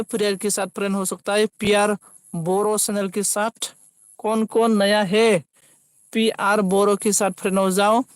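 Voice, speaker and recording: High-pitched man, halting livestream chatter. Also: smooth, no room sound. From Assam, India. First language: Bodo. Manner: halting, repeats phrases as he reads. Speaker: live streamer. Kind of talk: casual live chat, reading out comments.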